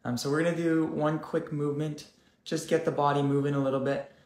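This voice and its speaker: Calm man guiding a live stream, roomy. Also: on the high side. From South Africa, raised in Canada. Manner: calm, gently guiding, slow deliberate phrasing. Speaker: wellness host. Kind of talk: live-stream coaching chat. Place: room indoors.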